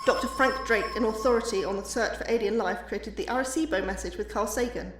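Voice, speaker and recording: A woman's voice: medium pitch, fast, noisy background, close to an everyday voice. A woman playing an enthusiastic but anxious astrobiologist giving an academic lecture in an audio drama, earnest and slightly awkward. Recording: echoey, distant hall with music.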